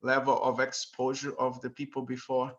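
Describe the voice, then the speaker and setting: Male panel moderator, bright tense voice, muffled lo-fi sound. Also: medium pitch, completely flat delivery, normal speed. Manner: hesitant, many fillers. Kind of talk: hosting a panel discussion.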